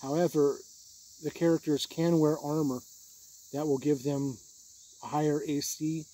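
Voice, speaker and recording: A man's voice: on the high side, steady tone, measured pace, warm tone, low-quality recording. A man giving an informal, unscripted review in a plain-spoken, matter-of-fact way. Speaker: tabletop game reviewer.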